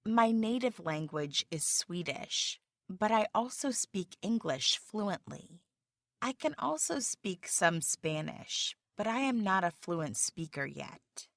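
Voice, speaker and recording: A woman playing a job candidate in a scripted practice interview for English learners, speaking slowly and carefully. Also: mid-range voice, lively intonation, vocal fry, no echo, clean studio sound.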